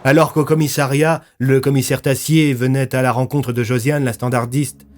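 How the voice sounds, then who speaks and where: A man's voice: mid-range voice, little pitch movement, normal speed, slight background noise. A man narrating a scripted dramatic story in a grave, theatrical way. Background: music.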